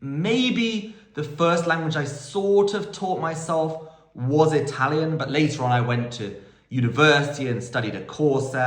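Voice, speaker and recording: Guy in his 30s, chatty q&a, goes off on tangents, roomy echo. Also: mid-range voice. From United Kingdom. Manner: enthusiastic, rambling with tangents. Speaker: language school owner and polyglot vlogger. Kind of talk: casual Q&A monologue. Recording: echoey room.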